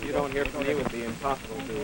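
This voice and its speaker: A man's voice: mid-range voice, fairly even intonation, rapid-fire, noisy background, close to an everyday voice. A man playing a businesslike office executive in a 1940s educational film, recorded among overlapping office voices. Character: businesslike male office executive giving dictation or phoning. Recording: old film, loud overlapping office babble.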